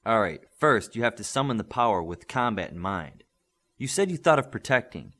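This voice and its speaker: Man voicing a commanding superhero coach, very animated. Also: fairly low pitch, normal speed. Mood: firm, instructive. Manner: instructive, firm, encouraging. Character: encouraging, commanding male superhero coach and mentor. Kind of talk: audiobook character acting.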